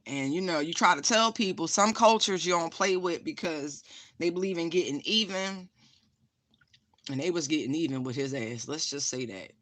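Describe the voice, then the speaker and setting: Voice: mid-range voice, normal speed, fairly bright tone. A tarot reader giving a casual spiritual reading, frank and profane, laughing often and repeating phrases for emphasis.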